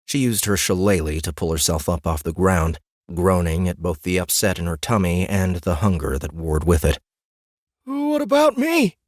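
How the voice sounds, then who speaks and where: Low-voiced male audiobook narrator. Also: steady tone, normal speed, no echo, clean studio sound. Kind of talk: scripted fantasy narration. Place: dry studio.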